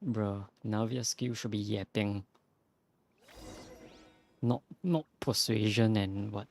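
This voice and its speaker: A man's voice: lowish voice, fairly even intonation, takes it slowly, a little mellow, slight background noise. A man doing casual livestream commentary on a game in a laid-back, amused, teasing manner. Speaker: streamer.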